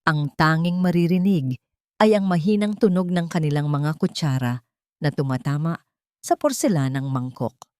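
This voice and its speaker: Low-pitched woman narrating a dramatic story. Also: moderate pace, darkish tone, no echo, studio quality.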